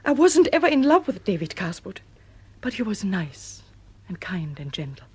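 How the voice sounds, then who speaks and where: An actress playing a troubled, self-reproaching wife in a melodramatic audio drama. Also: female voice, mid-range voice, big pitch swings, moderate pace, breathy edge, no room sound. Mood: confessional, remorseful.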